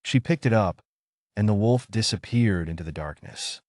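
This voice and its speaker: A man narrating a scripted bedtime story as a storyteller, in an expressive, theatrical manner. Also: lowish voice, normal speed, no room sound, studio quality.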